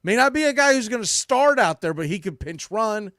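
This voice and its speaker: A man with a slightly high, very clear and smooth voice, recorded close and dry. He delivers opinionated baseball commentary as a podcast host, leaning hard on key words and stretching them out for emphasis. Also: animated, fairly quick, a bit bright, no room sound.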